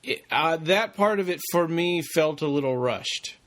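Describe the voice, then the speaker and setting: A man's voice: on the high side, expressive, measured pace, fairly bright tone. A man co-hosting a podcast, thinking aloud in a tentative, casual way. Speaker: podcast co-host. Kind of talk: casual discussion.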